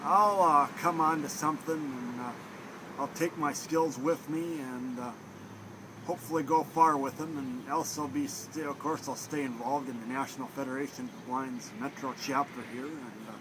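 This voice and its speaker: A man's voice: mid-range voice, steady tone, normal speed, background noise. A young man from Minnesota with a Minnesotan accent, chatting in an interview in a rambling, friendly way with lots of fillers. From Minnesota, USA. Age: young adult. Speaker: interviewee, trainee at a blindness training center. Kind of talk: casual interview talk.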